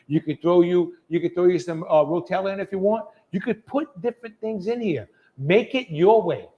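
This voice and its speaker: A man's voice: high-pitched, a little mellow, muffled recording. A man hosts a casual live cooking show. He talks in a folksy, chatty way, with plenty of down-home phrasing, as if to regulars.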